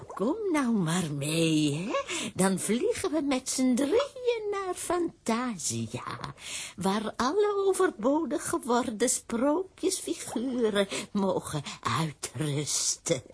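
Husky, slow fairy-tale witch. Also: a little high, heavily acted. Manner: drawn-out, theatrical delivery. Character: grown female fairy-tale witch, soothing and theatrical. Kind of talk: children's audio drama acting.

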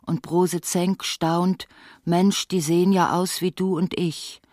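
A woman's voice: lowish voice, level tone, takes it slowly, darkish tone, no room sound, studio quality. A woman narrating a literary radio drama, telling of events in a city. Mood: narrative.

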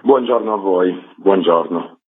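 Man, interview guest, explanatory, husky voice, dry close sound, telephone line. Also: deep voice, measured pace, no echo. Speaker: theatre director, interview guest. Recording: muffled narrow telephone line on radio.

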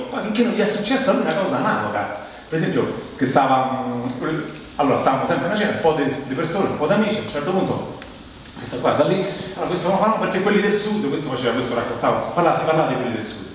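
A man with a Roman Italian accent and a fairly high voice delivers a comic stage monologue. He tells anecdotes colloquially and slips into acted-out remarks. The sound is very echoey, like a big hall picked up from a distance. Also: expressive, very fast. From Rome, Italy. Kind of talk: humorous theatrical monologue. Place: theatre stage, recorded from afar. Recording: very echoey hall, distant mic, faint noise.

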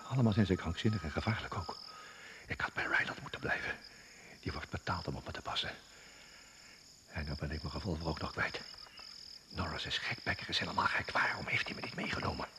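Male actor as paranoid jungle castaway: low voice, hurried muttering, noisy old radio sound. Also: close to an everyday voice. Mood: paranoid, agitated. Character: suspicious, frightened man alone in the jungle. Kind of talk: radio drama monologue.

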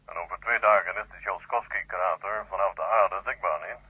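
An actor in a 1970 Dutch radio play, playing a stern, formal Soviet marshal heard over a telephone. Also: male voice, sits low, fairly quick, breathy edge, exaggerated voice. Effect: telephone line filter. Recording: tinny, echoing telephone line.

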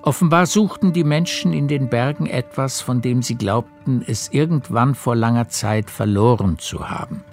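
A man narrating a literary radio play as a measured storyteller. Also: medium pitch, darkish tone, soft background music.

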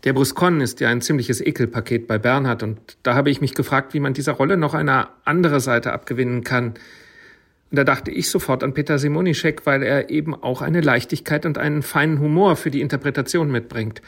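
A man's voice: medium pitch, a little fast, fairly bright tone, slightly rough. A man speaking as a radio play director, giving a spoken tribute.

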